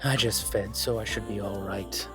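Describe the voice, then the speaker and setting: A man playing a blunt, protective male spirit in an audio drama, with a husky voice and a matter-of-fact delivery. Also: on the low side, steady tone, normal speed, over music, natural voice. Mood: calm, practical. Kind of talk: audio roleplay acting.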